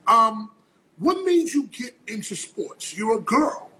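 A man hosting an interview, excitable, jumping in and repeating himself. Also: very high-pitched, takes it slowly, slight background noise, low-quality recording. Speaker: podcast host. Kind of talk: casual interview conversation.

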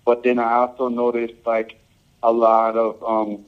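Laid-back male musician from Samoa and Hawaii, mid-range voice, halting answers, dull lo-fi sound. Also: medium pitch, monotone. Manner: laid-back, halting, full of "um" and "you know". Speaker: reggae musician guest. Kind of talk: casual interview answers.

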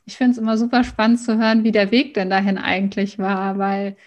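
A woman's voice: mid-range voice, monotone, brisk. A woman speaking as the host of an interview podcast. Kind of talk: scripted podcast intro and interview.

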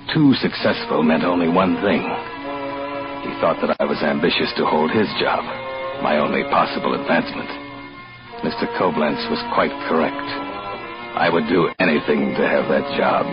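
Deep-voiced, bitter hotel man in old radio. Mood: bitter, brooding. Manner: sardonic, measured, deliberate. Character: bitter, resentful male hotel assistant manager confessing his story. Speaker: narrator and lead actor. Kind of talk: 1940s radio drama narration. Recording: dull old radio recording, loud music.

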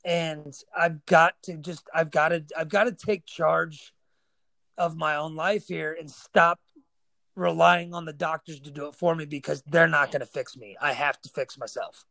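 A man's voice: on the high side, steady tone, moderate pace, creaky, bright, forceful tone, dry recording. A man hosting a podcast, talking in an emphatic, encouraging casual way, often saying "man" and "dude". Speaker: podcast host. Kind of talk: casual conversation.

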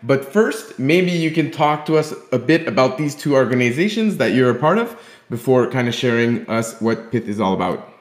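A man's voice: medium pitch, expressive, moderate pace. Male show host, friendly, lots of ums and uhs, echoey room. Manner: friendly, filler-heavy interviewer. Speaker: podcast host. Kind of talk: casual podcast hosting. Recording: roomy echo.

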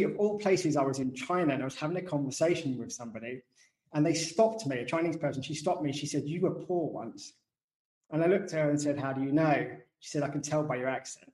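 A man's voice: fairly high pitch, a little mellow. Chatty British man in an echoey room. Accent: British, softened working-class. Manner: chatty, self-deprecating, digressive. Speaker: guest author. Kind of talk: casual interview talk.

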